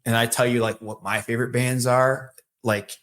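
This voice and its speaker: A man's voice: mid-range voice, little pitch movement, moderate pace, fairly bright tone. A middle-aged man with a distinct Michigan accent talks loosely as an interview guest. He rambles, doubling back with fillers and restarted phrases as he goes. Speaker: sales leader, podcast guest. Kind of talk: casual interview conversation.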